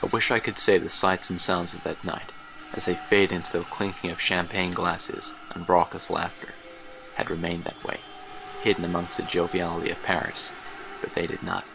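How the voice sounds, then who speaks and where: A man's voice: low-pitched, little pitch movement, creaky. An actor playing a haunted older man, recalling his youth in a grave, literary horror monologue for an audio drama. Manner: grave, literary, measured storytelling. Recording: noisy track with ambience behind.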